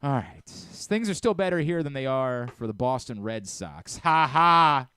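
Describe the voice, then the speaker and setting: A man's voice: mid-range voice, animated, moderate pace, bright, forceful tone, smooth, no room sound, studio quality. A man hosting a sports talk show, speaking casually and emphatically, often repeating a phrase to drive it home. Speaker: sports radio show host. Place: radio studio.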